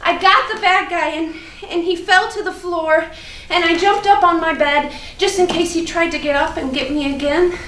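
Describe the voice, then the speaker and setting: A woman playing an innocent, chatty but fearful first-grade girl in a theatrical monologue, halting and repeating her words. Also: extremely high pitch, steady tone, fairly bright tone. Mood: frightened. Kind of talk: theatrical monologue at a staged reading. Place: indoor room at a live staged reading. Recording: roomy, distant, noisy live stage recording.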